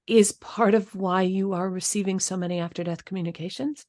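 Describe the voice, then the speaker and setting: A woman hosting an interview, asking thoughtful, measured questions. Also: mid-range voice, steady tone, a little mellow. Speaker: host.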